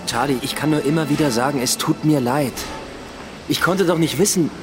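An actor playing an evasive police detective with a troubled love life in a naturalistic German radio drama. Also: male voice, on the high side, fairly quick, close to an everyday voice. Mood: pleading. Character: male police detective, evasive, pleading lover. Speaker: detective character. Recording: radio drama with music underneath.

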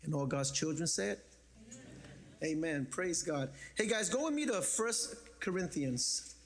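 Lively joking pastor. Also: male voice, fairly high pitch, moderate pace. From the Bronx, New York. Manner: lively, joking, conversational preaching. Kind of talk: sermon.

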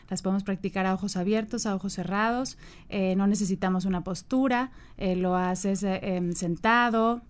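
Clear-voiced Mexican woman explaining. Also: medium pitch. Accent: Mexican Spanish. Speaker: meditation teacher, radio guest. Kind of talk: explanatory conversational interview.

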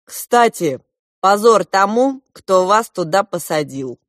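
A woman's voice: mid-range voice, expressive, slow, no room sound, clean studio sound. A woman voicing a boastful, imperious elder prince in an audiobook, with grand exclamatory delivery. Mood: effusive.

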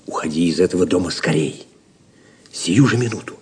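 A man's voice: low voice, normal speed, a little mellow, breathy edge. A man playing a resolute, secretive young pilgrim in an audio drama, earnest and commanding in manner. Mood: urgent, warning.

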